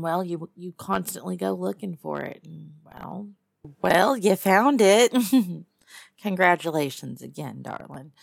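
A woman performs a female lizard-person hunter from Texas in an intimate audio roleplay. Her Southern drawl is low and heavily fried with creak, recorded close and dry. She teases and needles in a sardonic, guarded way, pausing to hum and muse. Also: dry recording. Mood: teasing, condescending. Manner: drawling, sardonic, teasing, with musing pauses and hums. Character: tough, teasing female lizard-person huntress, guarded but lonely. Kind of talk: one-sided immersive audio roleplay to a captive listener.

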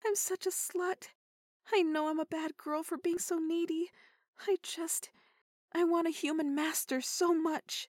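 A woman voicing an eager, submissive, excitable adult dog-human hybrid in an intimate audio roleplay, with a breathy, pleading manner. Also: very high voice, a little slow, no echo, clean studio sound. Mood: shy, needy.

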